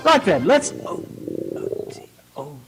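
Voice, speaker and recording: A woman's voice: lowish voice, big pitch swings, takes it slowly. A woman voicing a flustered, polite time-traveling male doctor pony in a fan radio play, with formal, hesitant speech. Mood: puzzled, awkward. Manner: formal, hesitant, eccentric turns of phrase. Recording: music behind the voice.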